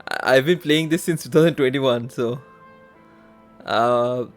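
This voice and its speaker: A man giving casual, halting live commentary on a game he plays, easily amazed by what he sees. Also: mid-range voice. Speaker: game livestreamer. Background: game music.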